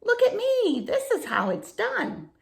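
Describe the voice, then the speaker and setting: A wise old storybook beetle, read by a woman, teaching with reassuring, instructive lines. The delivery is animated, with wide swings in pitch and a slight creak. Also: measured pace, full character voice. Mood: patient, instructive.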